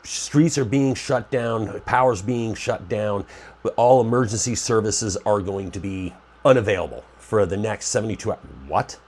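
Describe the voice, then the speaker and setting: A man's voice: on the low side, normal speed, darkish tone. A man with a stuffy, nasal cold voice, hosting his own preparedness channel in a rambling, conversational way and often correcting himself. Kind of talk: casual talk to camera.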